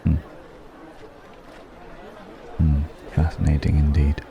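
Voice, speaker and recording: Casting: male actor for ancient dragon in disguise, ASMR drama. Extremely low, dark velvety voice, wry, curious, archaic turns of phrase, music and crowd behind. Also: monotone, creaky, lo-fi sound, heavily acted. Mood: musing, curious. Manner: musing, archaic formal phrasing, unhurried hums. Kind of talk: ASMR roleplay audio drama.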